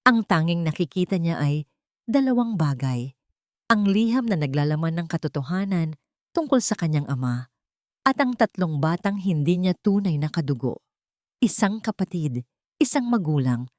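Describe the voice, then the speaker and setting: Low-voiced Filipino woman, dramatic storyteller. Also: big pitch swings, darkish tone, dry recording, studio quality. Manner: solemn, dramatic, deliberate phrasing. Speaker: audiobook narrator. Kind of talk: dramatic scripted story narration.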